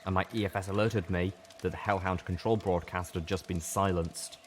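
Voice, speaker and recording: Very deep-voiced male story narrator. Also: a little hiss or hum. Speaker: audiobook narrator. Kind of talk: scripted first-person story narration.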